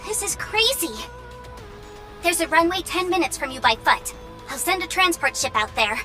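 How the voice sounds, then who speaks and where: A woman playing a flustered, rule-minded young female mission operator in a game, excitable and quick to scold. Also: very high-pitched, faint music behind, heavily acted. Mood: flustered, resigned. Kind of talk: dubbed game cutscene voice acting.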